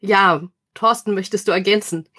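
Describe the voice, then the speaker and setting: A woman's voice: mid-range voice, fairly even intonation, normal speed, sharp tone, husky. A woman speaking as an interview guest, explaining things informally in a friendly, enthusiastic way. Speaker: interview guest, online community organizer.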